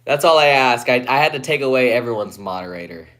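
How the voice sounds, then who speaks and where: A young man from Guatemala on a casual live stream, reading comments aloud and answering in a laid-back way, often trailing off quietly, through a phone propped on a ledge. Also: mid-range voice, animated, fast. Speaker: livestreamer.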